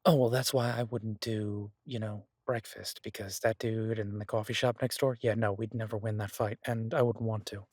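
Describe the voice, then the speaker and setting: A man playing an easygoing, earnest chef boyfriend in an intimate fantasy audio roleplay, talking in a halting, reassuring, conversational way. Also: lowish voice, steady tone, darkish tone, no echo, studio quality, close to an everyday voice. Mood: casual, enthusiastic.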